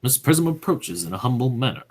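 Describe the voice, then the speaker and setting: A husky, mid-pitched narrator reads out stage directions for a radio play. The delivery is scripted narration, describing the action between speeches. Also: very animated, brisk.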